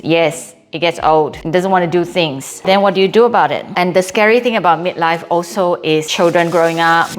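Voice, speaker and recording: A woman in her late forties with a Singaporean accent, chatting casually and candidly in a personal vlog, poking fun at herself. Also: fairly low pitch. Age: late 40s. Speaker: vlogger.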